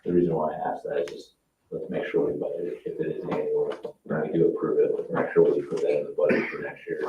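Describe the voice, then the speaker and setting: Very deep-voiced man chairing a council. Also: monotone, fast, a little hiss or hum. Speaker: council president chairing the meeting. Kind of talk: formal meeting procedure and questions. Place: indoor council meeting room. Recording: echoey, distant, dull meeting-room audio.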